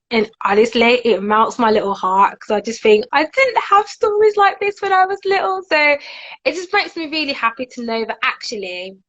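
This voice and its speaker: A woman's voice: mid-range voice, fairly bright tone, muffled recording. A young woman talking as a guest author in a casual live-stream interview over a video call, enthusiastic and chatty with a lot of "like"s. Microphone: phone front camera over video call.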